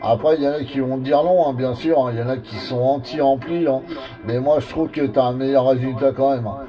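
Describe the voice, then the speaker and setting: Dark mellow male voice, medium pitch, colloquial explaining, distant radio sound. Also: steady tone, fairly quick. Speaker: amateur radio operator. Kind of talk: casual radio chat giving advice.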